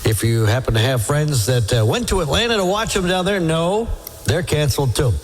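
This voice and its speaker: A man's voice: fairly high pitch, animated, a little fast. A man hosting a live radio oldies show with jokey, ad-libbed patter from the studio.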